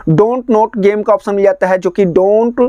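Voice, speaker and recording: A man's voice: high-pitched, steady tone, normal speed, dark tone, dry recording, dull audio. Man giving a dry-sounding phone tutorial. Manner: repetitive, instructional phrasing. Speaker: tech tutorial host. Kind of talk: step-by-step phone tutorial narration.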